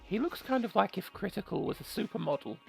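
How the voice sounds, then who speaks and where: A very creaky, gravelly voice reads game text aloud and cuts in with mocking, sweary asides. The delivery is that of a joking player, with faint music behind it. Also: mid-range voice, lively intonation, fast talker. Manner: sarcastic, sweary, mocking interjections. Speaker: video game player reading a visual novel aloud.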